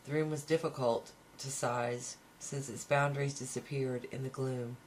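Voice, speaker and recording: Even-toned man reading a novel aloud. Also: medium pitch, normal speed, low-quality recording. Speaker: amateur audiobook reader. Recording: home recording with faint background noise.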